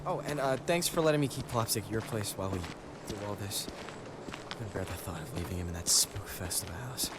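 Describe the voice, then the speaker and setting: A man's voice: fairly low pitch, brisk, heavy background noise, close to an everyday voice. A young man acting an anxious, sardonic, sweary video game character with a hesitant, stammering delivery. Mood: sheepish. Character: anxious, sardonic young man hunting answers about his family.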